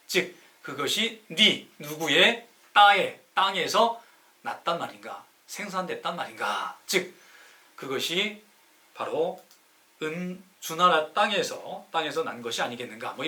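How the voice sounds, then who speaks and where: A man's voice: on the high side, pushed and bright. A man hosting a live-streamed lecture in an emphatic, didactic way, asking rhetorical questions and answering them himself, with a sore throat. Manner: emphatic, stretches key words. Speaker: live-stream host, city councilor. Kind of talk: live-streamed lecture explaining classical poetry.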